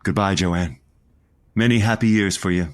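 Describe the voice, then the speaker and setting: Actor as a low, slyly needling male rival. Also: normal speed, dry recording, clean studio sound, natural voice. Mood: breezy farewell. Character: Avery Vestibule, slyly needling male rival, falsely contrite. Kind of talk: audio comedy drama dialogue.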